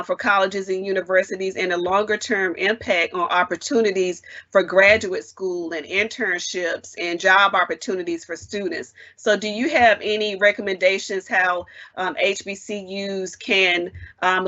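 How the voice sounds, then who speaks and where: A woman with a bright, tense voice moderates a panel over dull, lo-fi call audio. She asks long, winding questions and pauses on "um" again and again. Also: fairly low pitch.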